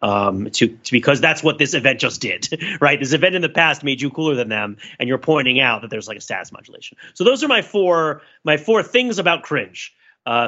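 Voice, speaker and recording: A man, a podcast co-host talking casually and analytically, explaining his points in a wordy, halting way. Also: on the high side, bright, forceful tone, dull audio.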